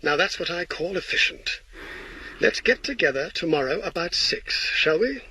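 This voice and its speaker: A man's voice: sits high, lively intonation, moderate pace, bright, forceful tone, a little hiss or hum, full character voice, poor audio. An actor playing a sly, insinuating man who taunts someone over the phone in a radio drama. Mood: taunting.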